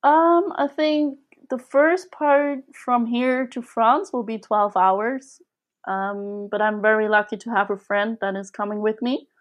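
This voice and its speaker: A woman's voice: on the high side, fairly even intonation, measured pace. A young woman from Switzerland speaking as a guest in a casual interview, chatty and giggly as she thinks aloud. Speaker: podcast guest.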